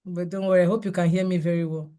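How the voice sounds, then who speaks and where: A hoarse woman with a cold gives a measured, explanatory seminar over a video call. Her voice is low and mellow, and she pauses to clear her throat. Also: little pitch movement. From Nigeria. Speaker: seminar speaker. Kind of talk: online health talk with slides.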